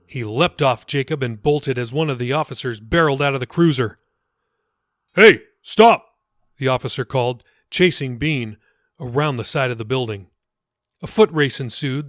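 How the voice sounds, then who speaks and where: A man's voice: medium pitch, no room sound, studio quality. A man reading his own novel as scripted audiobook narration.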